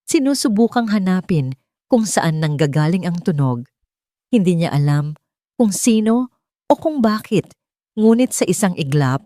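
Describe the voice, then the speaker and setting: Female audiobook narrator, lowish pitch, dramatic scripted storytelling, dry studio-like sound. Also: warm tone, no echo.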